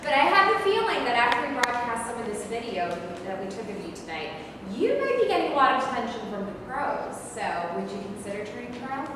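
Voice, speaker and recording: A woman's voice: a little high, brisk, hardly any pauses. A woman acting an upbeat, probing television sports reporter in a staged reading, with a presentational manner. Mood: curious, upbeat. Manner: animated, presentational. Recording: very echoey hall, distant mic, noisy.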